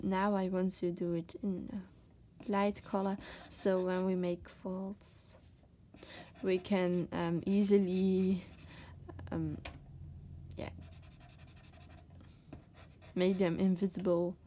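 A woman with a Dutch accent, a native Dutch speaker, giving a casual drawing tutorial as she works, soft-spoken and hesitant, searching for words between many fillers. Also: mid-range voice, little pitch movement, slow, slight background noise. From Netherlands. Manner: soft-spoken, often drops to a whisper. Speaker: art tutor. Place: desk, indoors.

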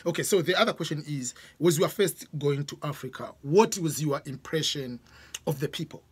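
Middle-aged Tanzanian man, casual interviewer, husky voice, unhurried, desk mic at home. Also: on the high side. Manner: unhurried, questioning, restarts mid-sentence. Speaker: interviewer, host. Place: small home room with bookshelves, indoors. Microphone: desk microphone on stand.

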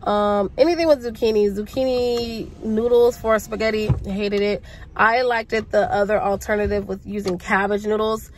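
A woman's voice: medium pitch, moderate pace, slight background noise. Stuffy-voiced woman vlogging from her car. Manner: chatty, rambling, lively slang. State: sick, congested voice. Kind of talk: casual chat to camera. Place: inside a parked car. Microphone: phone camera at arm's length.

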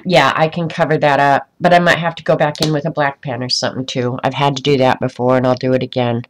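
A woman's voice: a bass voice, level tone, fast, a little hiss or hum. A woman with a stuffy, congested voice chatting casually to viewers on a live craft stream, rambling with many ums. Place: indoors at a craft desk.

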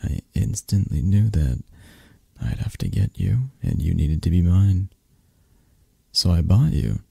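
A man acts out a flirtatious, possessive mafia boss in an ASMR roleplay. His voice is very low and dark, with a gravelly fry. He murmurs to his lover in a sleepy, teasing, soothing way. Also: very animated, measured pace, no room sound, heavily acted. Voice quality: breathy, husky. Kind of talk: intimate ASMR romance roleplay.

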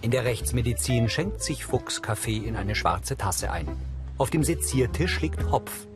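A man narrates a scripted audio description. His mid-pitched voice is neutral and precise, with music playing behind it. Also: expressive, measured pace.

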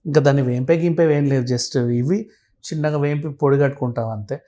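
A man's voice: medium pitch, a little fast, warm tone. A man giving a blunt, conversational explanation as a commentator, often checking that the listener follows. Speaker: commentator guest. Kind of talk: animated conversational explanation with acted quotes.

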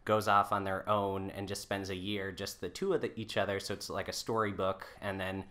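Bright-voiced young man telling casual stories. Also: low voice, flat delivery, moderate pace. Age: 20s. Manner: chatty, jokey, quotes himself and others. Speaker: podcast guest, folk musician. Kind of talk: casual conversational storytelling.

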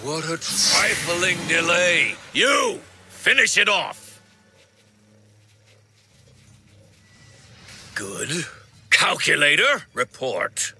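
A man's voice: a little high, very animated, slow, sharp tone, slight background noise, exaggerated voice. A man playing an arrogant criminal mastermind in a video game, haughty, commanding and theatrical. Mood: impatient, commanding. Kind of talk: video game villain acting.

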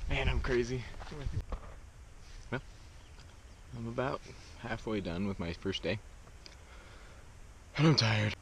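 A man's voice: mid-range voice, slow, gravelly, pauses often, a bit bright. A young man vlogging on a hiking trail, easygoing and joking at himself, into a camera held at arm's length. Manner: easygoing, self-deprecating, laughs at himself. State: winded on climbs. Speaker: thru-hiker vlogging. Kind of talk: casual vlog to camera. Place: outdoors on a mountain trail. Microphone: action camera held at arm's length. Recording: outdoor camera mic with background noise.

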